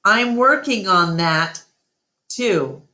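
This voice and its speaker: A woman voicing an earnest, gentle male gorilla who speaks hesitantly as a protector in a children's storybook. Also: medium pitch, expressive, very slow, voice pushed up, heavily acted. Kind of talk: children's storybook read-aloud.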